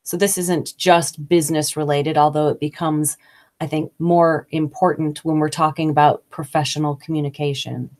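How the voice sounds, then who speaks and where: An American woman hosting a casual, conversational talk about business English, thinking aloud with occasional fillers. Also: deep voice. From United States. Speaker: host.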